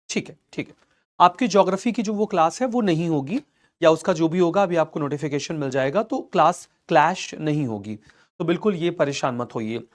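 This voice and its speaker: A man with an Indian accent and a slightly hoarse throat, teaching a live online history class and reassuring his students as he goes. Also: high-pitched. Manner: reassuring, calls students "beta", mixes English into Hindi.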